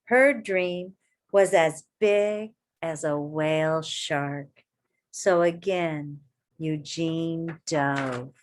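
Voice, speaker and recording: A woman reading a children's story aloud like an elementary teacher, expressive and deliberate, pausing to put questions and encouraging remarks directly to young listeners. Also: deep voice.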